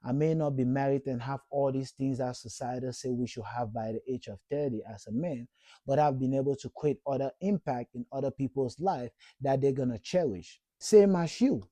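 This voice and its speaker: A man's voice: mid-range voice, moderate pace, mellow, no room sound. A man in his thirties from Cameroon, giving an earnest, encouraging motivational talk to camera and repeating points for emphasis. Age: 30s. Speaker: vlogger.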